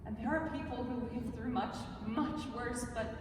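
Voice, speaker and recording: A woman performing a dramatic monologue as an anguished, defiant, gravely ill thirty-year-old, with impassioned outcries and hushed pleading in a live staged reading. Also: a little high, normal speed, lightly acted. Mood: anguished, resolute. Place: large reverberant museum space, live, distant mic. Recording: distant, noisy, very echoey museum hall.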